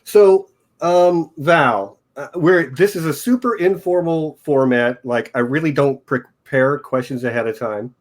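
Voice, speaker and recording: A man hosting an informal interview, relaxed and joking, rambling casually. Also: on the high side, measured pace. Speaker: podcast host.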